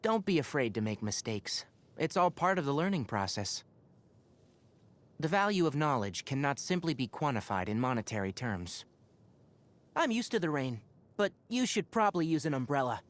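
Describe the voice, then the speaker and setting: An actor playing a young fox-eared forest ranger in a video game, scholarly and patient, speaking in an articulate, instructive and gently wry way. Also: male voice, medium pitch, big pitch swings, normal speed, close to an everyday voice. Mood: calm, instructive. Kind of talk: scripted game character voice lines.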